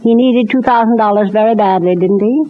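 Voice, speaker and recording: An actress playing a stern, commanding, wealthy society matron in an old-time radio soap opera, with an imperious, clipped and exacting manner. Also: female voice, mid-range voice, fairly quick, lightly acted. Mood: stern, accusing. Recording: tinny, noisy vintage radio recording.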